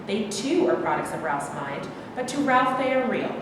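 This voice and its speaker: Female stage-directions reader, fairly high voice, scripted narration, distant and noisy hall recording. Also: sing-song, normal speed. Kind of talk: scripted narration at a play reading.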